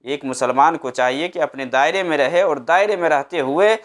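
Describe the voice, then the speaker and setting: Male preacher, slightly high smooth voice, didactic religious guidance with emphatic repetition. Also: moderate pace. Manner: didactic, emphatic, repeats his points in short phrases. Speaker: Islamic preacher answering a religious question. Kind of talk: religious advice talk.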